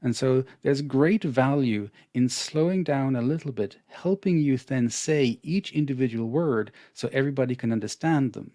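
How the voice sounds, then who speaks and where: Mellow Irish man teaching online. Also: medium pitch, normal speed, hardly any pauses. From Ireland. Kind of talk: explanatory lesson over slides.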